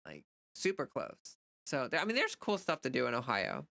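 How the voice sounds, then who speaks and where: A woman's voice: very deep voice, wildly swooping pitch, moderate pace, creaky, fairly bright tone, no room sound, clean studio sound. An American woman livestreamer chatting casually and off the cuff, rambling informally as she answers viewer comments. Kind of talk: casual unscripted chat.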